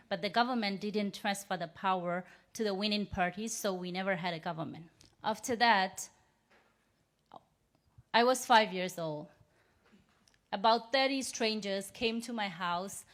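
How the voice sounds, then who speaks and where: A woman's voice: mid-range voice, a bit bright. Earnest woman with a Burmese accent. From Myanmar. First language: Rohingya. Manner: measured, earnest storytelling with pauses. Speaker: invited speaker. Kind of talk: personal testimony speech.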